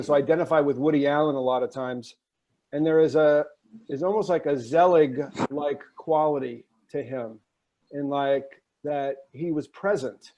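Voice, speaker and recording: Higher-pitched man, halting and mellow. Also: low-quality recording. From Oakland, California area. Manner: halting, searching for words. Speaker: book club discussion participant. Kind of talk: casual reflective discussion talk. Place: virtual discussion video call.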